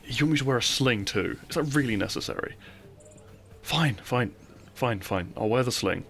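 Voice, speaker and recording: A man playing a runaway royal turned outlaw, a weary, tender and gently pleading lover in a romantic audio roleplay, with soft, sighing delivery. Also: medium pitch, expressive, normal speed, creaky, soft background music. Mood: injured, resigned.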